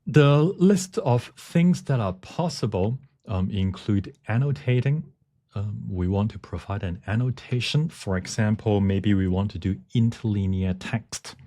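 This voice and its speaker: A man giving a technical presentation, speaking haltingly as he searches for words. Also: lowish voice, warm tone. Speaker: conference presenter. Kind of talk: technical talk with live demonstration.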